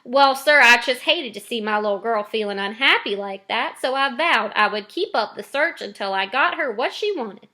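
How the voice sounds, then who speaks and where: Woman reading as a doting father, animated. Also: on the high side, moderate pace, hardly any pauses. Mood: exasperated, doting. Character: doting rich father of a spoiled daughter.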